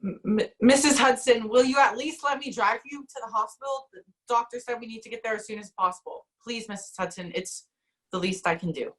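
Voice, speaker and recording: A guilt-ridden young man in an amateur audio drama, hesitant and apologetic, as a woman plays him. The voice is bright and a bit high, stumbling over words between apologies. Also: fairly quick, rough recording.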